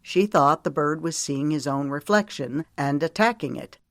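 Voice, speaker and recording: A protective, weary man in an audiobook drama, acted out by a female narrator. He is tender yet stern, lecturing earnestly in a low voice, recorded dry. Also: normal speed, no room sound. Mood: thoughtful. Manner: earnest, lecturing, folksy storytelling.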